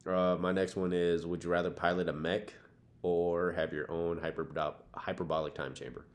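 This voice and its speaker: A man's voice: deep voice, flat delivery, moderate pace. A man co-hosting a podcast, talking casually and matter-of-factly in slangy, sometimes profane language. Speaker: podcast co-host. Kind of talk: casual banter, would-you-rather questions.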